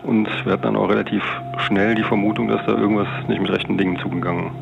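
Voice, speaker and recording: Middle-aged male investigator, interview recollection, deep-leaning and full of fry, hesitant phrasing, lo-fi sound over a music bed. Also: level tone, fast, background noise. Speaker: police investigator recounting a case. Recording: dull lo-fi sound, music underneath.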